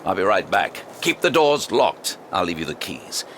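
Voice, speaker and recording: A man's voice: low-pitched, very animated, a bit bright. An actor playing a male Scotland Yard detective in an audio drama, controlled, commanding and reassuring. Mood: reassuring, firm. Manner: brisk orders, reassuring, controlled. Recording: clear outdoor vehicle ambience and noise.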